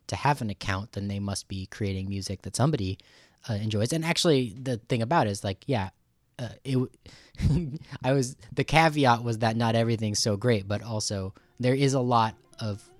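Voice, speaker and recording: A man hosting a podcast in a casual, conversational way, halting as he thinks aloud. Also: on the low side, dry recording. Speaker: podcast host. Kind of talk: casual interview talk.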